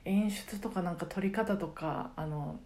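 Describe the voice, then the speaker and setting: A middle-aged woman chatting casually on a solo podcast, reflective and halting as she searches for words. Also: fairly low pitch, mellow. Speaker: podcast host and karaoke teacher.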